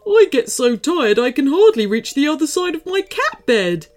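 A woman voicing a sleepy, lazy male street cat who drawls slowly. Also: sits high, sing-song, a little mellow, faint music behind, pitched above the performer's own voice. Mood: amazed. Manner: slow, drowsy drawl.

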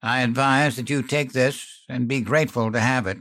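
A man's voice: medium pitch, steady tone, normal speed, a bit bright, no echo, clean studio sound, voice pushed up, natural voice. A man voicing a company representative in a suit who speaks stiffly, formally and dismissively. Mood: coldly formal.

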